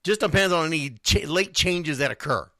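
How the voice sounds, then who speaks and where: A man's voice: fairly high pitch, lively intonation, moderate pace, sharp tone, no echo. A man hosting an informal youth sports radio show, talking bluntly and emphatically and repeating phrases for stress. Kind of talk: informal sports talk monologue.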